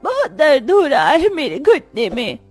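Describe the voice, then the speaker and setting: A woman voicing a sweet, polite, earnest young schoolgirl in an animated moral story. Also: high voice, measured pace, full character voice. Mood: hurt, tearful. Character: sweet, earnest poor schoolgirl, patient and easily hurt. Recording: music behind the voice.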